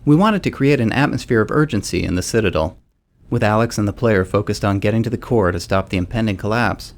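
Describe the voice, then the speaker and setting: A man's voice: on the low side, fast. A man who is a game developer, delivering scripted explanatory commentary.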